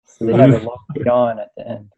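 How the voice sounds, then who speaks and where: A man's voice: medium pitch, monotone, a little fast, mellow, dull audio. A man chatting casually on a book panel and reading short written reviews aloud.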